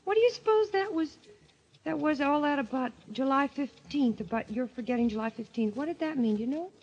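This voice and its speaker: A woman's voice: sits high, lively intonation, brisk, darkish tone. An actress playing a sweet, trusting wife in a golden age radio mystery, earnest and exclamatory in her delivery. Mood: puzzled, curious. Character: sweet, gushing, trusting wife, a former nurse. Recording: muffled vintage radio recording.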